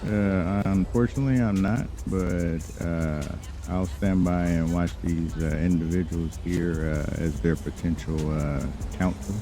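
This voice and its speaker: A man playing a sharp, composed lawyer in improvised game roleplay, speaking formally and measuredly with challenging pauses. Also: deep voice, fairly even intonation. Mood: dry defiance. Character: sharp, composed male criminal defense lawyer. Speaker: role-playing gamer. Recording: music behind the voice.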